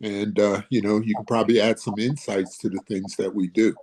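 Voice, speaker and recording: A man's voice: lowish voice, level tone, a little fast, darkish tone. A man chatting casually as a guest surgeon on an online panel, friendly and direct. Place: online webinar call.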